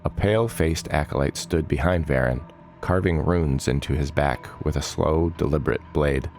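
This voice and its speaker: A man narrating a scripted fantasy audiobook as storyteller, measured and dramatic in his delivery. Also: extremely low pitch, a little mellow, soft background music.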